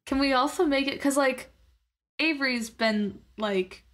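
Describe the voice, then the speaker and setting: A woman's voice: high-pitched, a little slow, a bit bright. A woman playing a tabletop game, talking casually and making up details as she goes. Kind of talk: casual improvised game talk.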